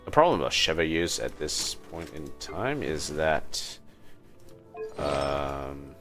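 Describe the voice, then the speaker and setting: A man's voice: a bass voice, animated, slow pace, fairly bright tone. A man livestreaming a video game with casual commentary, muttering to himself as he thinks aloud. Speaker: livestreamer, gamer. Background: game music.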